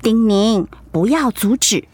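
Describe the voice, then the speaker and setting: An actress with a Taiwanese accent playing an upbeat, teasing wife in recovery from illness in a radio drama, playful and reassuring. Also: female voice, on the high side, lively intonation, slow, darkish tone, dry recording. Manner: playful, reassuring, chiding her husband. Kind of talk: Mandarin radio drama acting.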